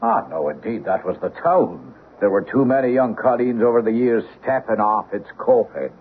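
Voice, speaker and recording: A man's voice: fairly low pitch, expressive, normal speed, lightly acted. An actor playing a gossipy Irish village man, wry and folksy, with a lilting Irish accent in an old-time radio drama. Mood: gossipy, knowing. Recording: muffled, noisy old radio recording.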